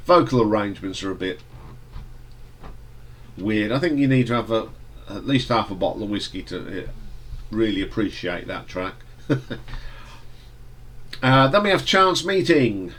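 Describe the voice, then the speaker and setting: A man with a fairly low voice talks through an album track by track. His nose is stuffy and blocked from hay fever. He rambles in a relaxed way, with fillers and restarts. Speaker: music reviewer. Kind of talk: casual album review.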